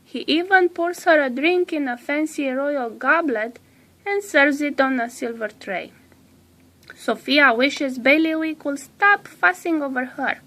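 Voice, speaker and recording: A woman narrating a children's storybook aloud in a deliberate way, drawing out some words. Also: high voice, sharp tone.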